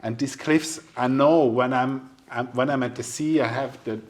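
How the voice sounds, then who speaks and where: Soft-spoken man, hesitant with fillers. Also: mid-range voice, fairly even intonation, moderate pace. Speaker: podcast host. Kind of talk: casual conversation.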